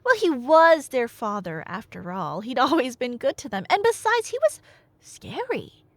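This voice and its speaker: A woman with a fairly high, very animated voice tells a story like a nerdy girlfriend on a ramble. She takes her time and swings her pitch around, dropping playful, mock-indignant asides. The recording is dry and close. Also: unhurried, no room sound. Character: chatty nerdy girlfriend excitedly retelling Greek myths. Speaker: audio roleplay performer playing a girlfriend retelling a myth. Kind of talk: casual rambling storytelling in a one-sided audio roleplay.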